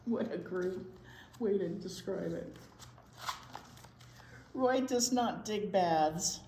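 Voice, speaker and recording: A woman reading a picture book aloud to young children in an expressive way, pausing to explain words simply. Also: medium pitch. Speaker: library storytime presenter, children's teacher. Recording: roomy echo, light background noise.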